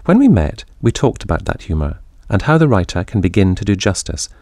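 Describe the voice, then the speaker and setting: Male host, lowish voice, thoughtful questions, repeats words when he stumbles. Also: big pitch swings, a little fast, a little mellow. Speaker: interviewer host. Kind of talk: scripted intro then conversational interview.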